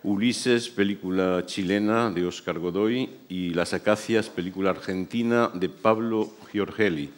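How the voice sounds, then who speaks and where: A man hosting a festival press breakfast, introducing guests and asking measured, formal questions. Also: sits low. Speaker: festival interviewer.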